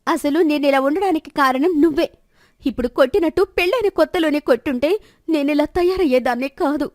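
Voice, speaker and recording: A woman voicing a quarrelsome, sharp-tongued village wife with a nagging manner in a story. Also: sits high, fairly quick, no echo, studio quality, pitched above the performer's own voice, natural voice. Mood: indignant.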